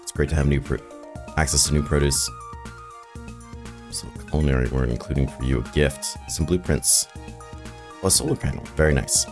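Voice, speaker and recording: Super deep raspy guy, kinda hoarse, just chatting over his game, music going behind. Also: moderate pace, long pauses, a little mellow. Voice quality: raspy, rough voice. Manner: rambling, thinking aloud while playing. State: hoarse from shouting the night before. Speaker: gaming video host. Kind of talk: casual gameplay commentary.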